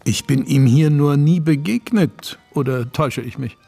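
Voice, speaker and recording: An actor playing a courteous, troubled Victorian gentleman in a German radio play, grave and formal, with a husky voice. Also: on the high side, soft background music. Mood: courteous, probing. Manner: measured, formal, brooding.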